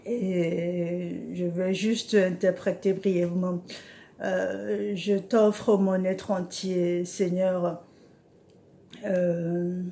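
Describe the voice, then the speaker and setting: A woman preacher talks to her online followers in a slow, unhurried way. Her voice is mellow and soft, and she pauses often with hesitant fillers as she explains Bible verses. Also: on the low side, little pitch movement, creaky, a little hiss or hum. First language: an African language she names as her mother tongue. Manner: slow, hesitant speech with frequent "euh" fillers. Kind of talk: informal online devotional talk.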